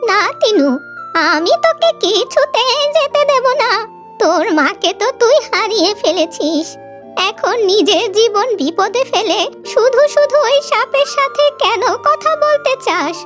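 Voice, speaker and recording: A woman's voice: extremely high pitch, animated, unhurried, faint music behind. A woman voicing a loving old grandmother in a cartoon, speaking tenderly and pleading. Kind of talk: cartoon voice acting.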